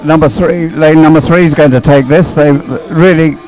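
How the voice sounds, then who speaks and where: A man giving live, off-the-cuff race commentary in a halting, rambling way, hesitating as he searches for words. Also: on the high side, fairly even intonation, darkish tone, soft background music, poor audio.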